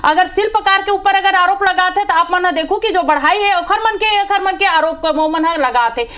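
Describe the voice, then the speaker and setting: A woman with a very high voice and a Chhattisgarhi accent argues in a heated political debate. She is combative and insistent, hammering her points through repetition like a party spokesperson on a panel. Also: moderate pace, fairly bright tone. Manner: combative, insistent, repeats phrases for emphasis.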